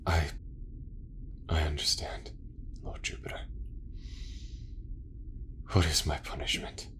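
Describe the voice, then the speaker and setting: A man playing a proud, loyal, sardonic retainer in an audio roleplay, formal and deadpan in his delivery. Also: very deep voice, slow pace, in a whisper, heavily acted. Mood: humbled. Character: Ulysses, proud, deferential, sardonic male loyal companion.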